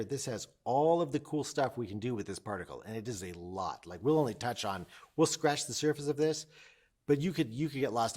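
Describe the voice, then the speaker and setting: A man with a mid-pitched voice walks learners through software one step at a time. He is informal and enthusiastic, and he often breaks off and picks his thought back up as he explains. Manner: enthusiastic, informal, self-interrupting. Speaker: instructor.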